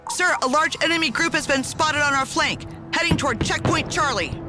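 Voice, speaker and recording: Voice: sits high, a little fast, music in the background, lightly acted. An actor playing a dutiful, worried military officer who gives formal, clipped reports in a strategy game.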